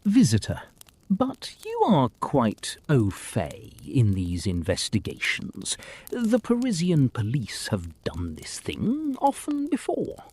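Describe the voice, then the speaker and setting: A woman playing a polite, inquisitive companion in a detective audio drama, husky and formal. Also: rumbling low, huge pitch swings, takes it slowly, dark tone, heavily acted. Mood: curious. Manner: formal, questioning.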